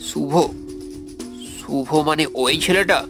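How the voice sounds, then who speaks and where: A man playing a skeptical young friend in an animated horror story, with questioning, theatrical delivery. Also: a little high, slow, gravelly, soft background music, full character voice. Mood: doubtful, puzzled.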